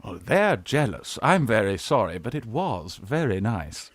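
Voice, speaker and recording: A man's voice: on the low side, sing-song, a little slow, husky, no room sound, studio quality, full character voice. An actor playing a young man posing as a genteel aunt in a Victorian farce, flustered, coy and haughty. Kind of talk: Victorian farce radio acting.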